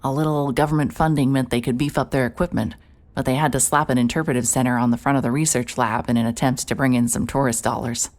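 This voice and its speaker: A woman playing a hard-hearted, stubborn private investigator in an audio drama, narrating in a wry, terse, deadpan way. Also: extremely low pitch, fast. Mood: brooding, observant. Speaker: narrator and lead actor.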